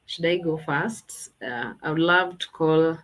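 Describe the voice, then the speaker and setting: A woman's voice: sits low, a little slow, fairly bright tone. A woman hosting a casual online launch party over a video call, chatty and thinking aloud as she talks. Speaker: host.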